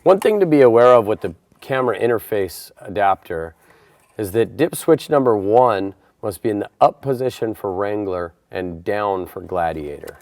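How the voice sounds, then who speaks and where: A man's voice: fairly low pitch, expressive, moderate pace, a little mellow, no room sound. A man presenting a how-to installation tutorial, explaining each step in a plain, methodical way. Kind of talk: step-by-step instructional narration.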